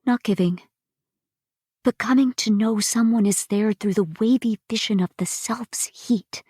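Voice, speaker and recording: A woman giving an expressive poetry reading, deliberate and pausing between phrases. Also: fairly high pitch, slightly rough, no room sound, clean studio sound. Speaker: reader of poetry. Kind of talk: dramatic poetry recitation.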